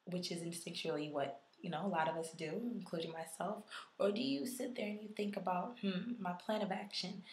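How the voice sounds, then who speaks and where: Hoarse woman vlogging in an echoey room. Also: fairly low pitch, normal speed. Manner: conversational, reflective, with frequent ums. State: hoarse, losing her voice from illness. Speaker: YouTuber. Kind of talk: casual video response vlog.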